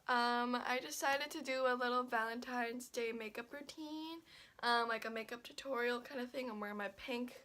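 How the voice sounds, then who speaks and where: Stuffy young woman, bright chatty voice. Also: fairly high pitch. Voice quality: slightly raspy. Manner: chatty, rambling, lots of fillers and restarts. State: stuffy and congested. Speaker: beauty vlogger. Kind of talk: casual makeup tutorial talk-through.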